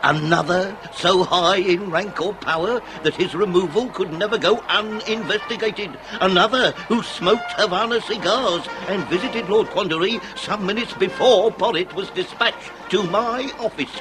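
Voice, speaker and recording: An actor playing a shrewd, authoritative Victorian police inspector with a British accent and a formal, probing manner in a period radio drama. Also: male voice, sits high, moderate pace, hardly any pauses, music in the background, close to an everyday voice, rough recording. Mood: brooding, deductive.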